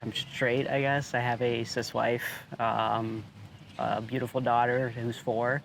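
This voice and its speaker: Man, 30s, candid interview, halting with fillers, flat delivery. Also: medium pitch, vocal fry, slight background noise. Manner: hesitant, candid. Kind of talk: documentary interview.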